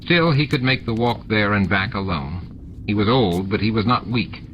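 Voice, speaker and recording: A male audiobook narrator with a fairly low voice reads a scripted story. Added effects and clear background noise sit behind his reading. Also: lively intonation, moderate pace, fairly bright tone, muffled recording. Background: added sound effects and ambience.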